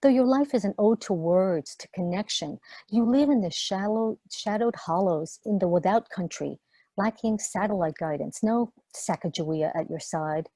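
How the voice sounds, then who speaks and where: A woman reading her own lyrical prose aloud over a video call in a measured, poetic cadence. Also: mid-range voice, mellow, no room sound. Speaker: author reading her work.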